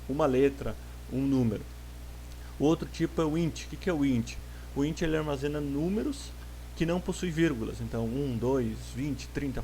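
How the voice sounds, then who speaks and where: A Brazilian man gives a recorded programming lesson at a middle pitch. He explains slowly and patiently, often restarting his phrases. A faint hum sits under the recording. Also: a little mellow. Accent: Brazilian Portuguese. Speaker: programming teacher. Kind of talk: recorded lecture.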